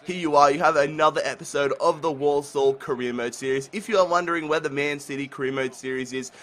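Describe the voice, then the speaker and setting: A young man commentating his football video game play while sick with the flu, talking casually and getting excited at chances. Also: fairly high pitch, fast. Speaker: gaming commentator.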